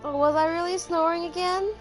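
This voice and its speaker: A woman's voice: extremely high pitch, steady tone, fairly quick, faint music behind. A young woman chatting casually and a little hesitantly as she streams a game. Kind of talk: casual live stream chat while playing a game.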